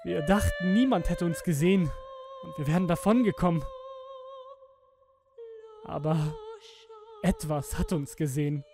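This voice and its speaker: A young man acting a guilt-ridden, haunted twenty-year-old who gives a halting, emotional confession in a horror audio drama. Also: high voice, big pitch swings, full character voice. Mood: desperate, haunted. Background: music with violin.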